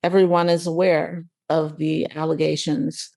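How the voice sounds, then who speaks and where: A woman arguing in court as a defense attorney, halting and repeating words as she goes. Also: deep voice, level tone, creaky, a little mellow, no room sound. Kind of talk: courtroom argument.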